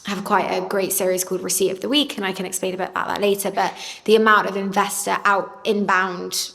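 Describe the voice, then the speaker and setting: A woman founder speaking on a panel, with a naturally loud voice and a candid, self-deprecating manner. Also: mid-range voice, little pitch movement, a little fast, creaky, in a large hall. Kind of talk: panel discussion talk.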